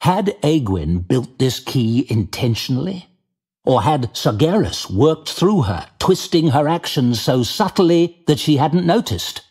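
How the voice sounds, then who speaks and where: Slow, dramatic male storyteller. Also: medium pitch, sing-song, a little mellow, husky. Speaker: narrator. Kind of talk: scripted audio drama narration.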